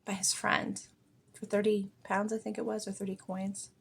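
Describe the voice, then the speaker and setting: Creaky-voiced American woman, earnest and conversational. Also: medium pitch, little pitch movement, normal speed, a little hiss or hum. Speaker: podcast and video host. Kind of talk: informal reflective spiritual monologue.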